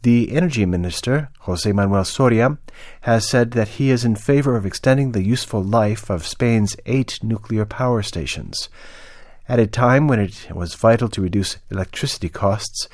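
Male radio newsreader, fairly low pitch, dry studio-like sound, scripted bulletin delivery. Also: darkish tone, no room sound. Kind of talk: scripted news reading.

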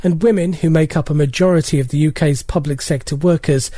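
Mellow, higher-voiced male news correspondent. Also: fairly even intonation, brisk, husky, no echo. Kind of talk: scripted radio news report.

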